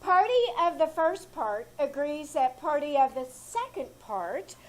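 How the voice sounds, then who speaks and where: A woman playing a sassy, deadpan maid. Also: sits high, unhurried, low-quality recording. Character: sassy, stubborn housemaid Missus Bell. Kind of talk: live old-time radio comedy reenactment. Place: small theater stage.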